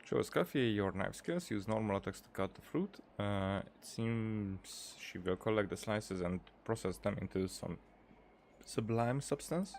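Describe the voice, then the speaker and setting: Male gameplay host, deeper voice with vocal fry, casual, halting read-alouds and playful asides. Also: lively intonation, a little mellow, a little hiss or hum. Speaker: gaming video host. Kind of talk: casual gameplay commentary, reading prompts aloud. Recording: game music behind the voice.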